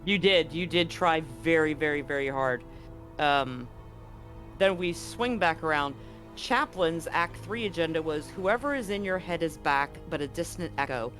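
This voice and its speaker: A man's voice: fairly high pitch, expressive, normal speed, fairly bright tone, soft background music. A man as game master of a tabletop roleplaying game, explaining rules and recapping in a chatty way with frequent ums.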